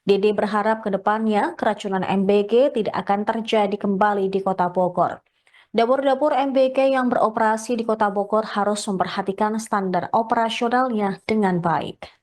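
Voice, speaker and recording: A woman's voice: mid-range voice, moderate pace, a little mellow. A woman narrating a scripted news report as a reporter.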